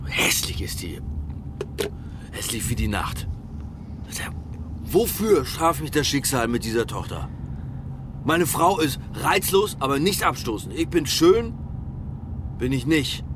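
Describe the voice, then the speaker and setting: Drunken, pompous politician, swooping intonation. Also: male voice, mid-range voice, big pitch swings, slow pace, long pauses. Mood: contemptuous, drunk. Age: middle-aged. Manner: rambling, stammering, pompous. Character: drunken, self-righteous male politician, ranting and volatile. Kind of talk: naturalistic German radio crime drama acting. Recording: noisy car interior ambience.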